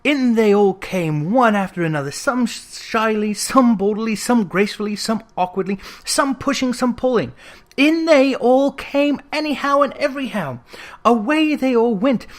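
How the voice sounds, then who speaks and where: A reader giving an expressive, dramatic narration of a classic story, leaning into lists with emphasis. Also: mid-range voice. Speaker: audiobook reader.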